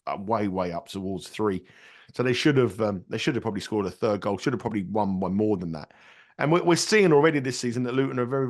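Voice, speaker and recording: Man, blocked nose from a cold, casual podcast talk, repeats himself. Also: fairly low pitch. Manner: rambling, repeats words and restarts sentences. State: congested with a cold. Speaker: betting tipster on a podcast. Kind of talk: casual betting analysis chat.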